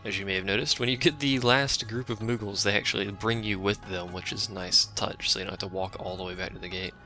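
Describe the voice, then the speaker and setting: A man with a deep voice giving casual, rambling commentary while he plays a game and chats with his stream viewers, offhand and self-deprecating. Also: steady tone, quick pace, pushed and bright, soft background music. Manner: chats with a live stream chat. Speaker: let's play streamer.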